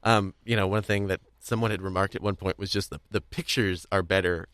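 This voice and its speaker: A man's voice: low-pitched, fairly even intonation, fairly quick, fairly bright tone, clear, resonant tone, dry recording. A young man hosting a casual podcast chat, talking in a wordy, rambling, hedging way. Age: young adult. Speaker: podcast host. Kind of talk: casual chat about audio drama craft.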